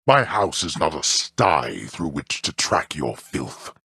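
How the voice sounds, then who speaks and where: A man playing a stern, scornful old god of the underworld in a video game, speaking with pompous, archaic, disdainful diction and a very deep, gravelly voice. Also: sing-song, slow, sharp tone, no echo, heavily acted. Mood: scornful, menacing. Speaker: voice actor. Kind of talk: theatrical scripted video game character lines.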